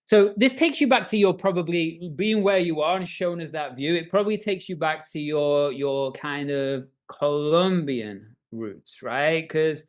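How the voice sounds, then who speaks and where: A man's voice: on the high side, measured pace, hardly any pauses. A man hosting a podcast interview, enthusiastic and jokey, often repeating words as he gets going. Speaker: podcast host. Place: remote, in his own home setting.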